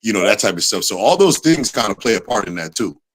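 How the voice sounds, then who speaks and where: Low-voiced man explaining thoughtfully. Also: very animated, fast, fairly bright tone. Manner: laughs often, explains thoughtfully with many "like"s. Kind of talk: casual chat.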